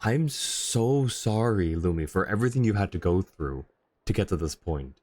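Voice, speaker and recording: A man's voice: deep voice, animated, moderate pace, darkish tone. A man doing casual, chatty livestream commentary on a game, reacting and replying to his chat. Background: faint game music.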